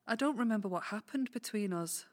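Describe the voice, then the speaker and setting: A woman's voice: medium pitch, lively intonation, a little fast, natural voice. A woman with a Scottish accent acting in an audio play as an anxious, groggy injured woman who questions earnestly. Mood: weary, subdued. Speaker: community cast actor. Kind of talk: community audio drama, naturalistic dialogue.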